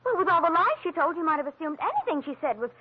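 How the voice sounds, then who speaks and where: A woman's voice: extremely high pitch, sing-song, fast. An actress playing a naive, fretful, devoted detective's secretary, earnest and girlish, in a 1940s radio drama. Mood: insistent. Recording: muffled vintage radio recording.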